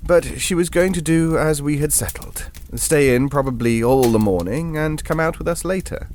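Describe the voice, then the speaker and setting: An actor with a British accent playing a hesitant, reassuring gentleman who tells an eerie tale in an audio drama, halting and conversational. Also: on the high side, a little hiss or hum, lightly acted. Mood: reflective, uneasy. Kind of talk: audio drama ghost story narration.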